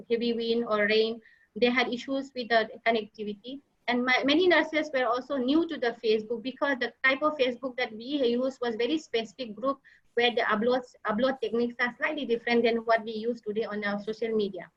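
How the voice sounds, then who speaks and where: A woman giving a slide presentation as a nurse leader, halting often with fillers and restarts. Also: a little high, a bit bright. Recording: dull lo-fi online audio.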